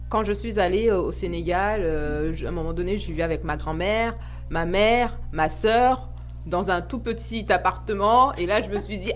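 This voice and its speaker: A woman's voice: lowish voice, moderate pace, soft background music. A woman, a guest speaking earnestly and reflectively with frequent hesitations. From Paris suburbs, France. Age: 30s. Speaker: guest, association founder. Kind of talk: podcast interview, personal reflection.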